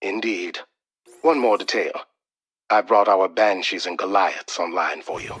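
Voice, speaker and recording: An actor playing an analytical military support officer in a video game, giving formal, precise mission updates. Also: male voice, sits low, unhurried, fairly bright tone, a little hiss or hum. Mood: calm concern.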